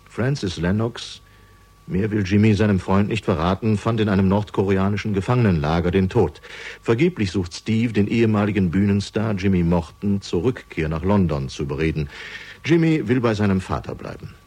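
A man reading scripted narration for a crime radio drama, measured and dramatic, with deliberate pauses. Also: fairly low pitch, darkish tone, slightly rough.

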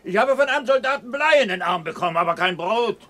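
An actor playing a bitter, hard-done-by commoner of a street mob in a German radio drama. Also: male voice, high voice, expressive, quick pace, a bit bright. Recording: crowd behind the voice.

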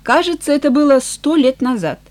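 A woman voicing a weary, frank male farmer nearing sixty in an audiobook, plain-spoken and measured. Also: sits high, expressive, darkish tone. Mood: weary, calm. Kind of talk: audiobook character dialogue.

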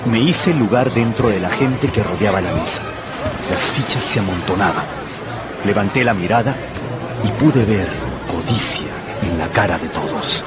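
A man's voice: on the low side, expressive, moderate pace, close to an everyday voice. An actor playing an anxious, tempted gambler who narrates his own story in a radio drama. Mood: absorbed, observant. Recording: loud music and crowd behind the voice.

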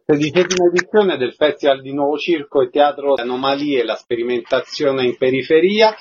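A man's voice: mid-range voice, a little fast, darkish tone, lo-fi sound, slight background noise. A man hosting a radio interview programme, announcing and putting questions to guests.

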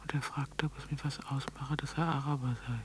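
An actress playing a wistful, lonely telephone operator in a radio drama monologue, hushed, breathy and restrained. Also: female voice, extremely low pitch, fairly even intonation, a little fast, slight background noise. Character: wistful, lonely telephone operator recalling her one chance.

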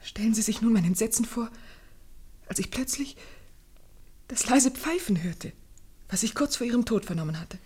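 A woman's voice: a little high, animated, normal speed, long pauses, dark tone, husky. An actress playing an anxious, well-bred young lady in a German radio play, speaking earnestly and formally with careful articulation. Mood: agitated, fearful.

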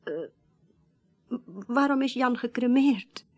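A woman playing an estranged widow in a radio drama, speaking politely and haltingly, reserved but insistent. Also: on the high side, darkish tone. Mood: hesitant. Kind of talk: naturalistic Dutch radio drama acting.

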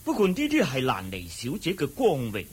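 A man's voice: on the high side, wildly swooping pitch, brisk. A man playing a stern clan chief in a Cantonese radio drama, formal and pompous, with a Hong Kong accent. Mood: solemn, formal.

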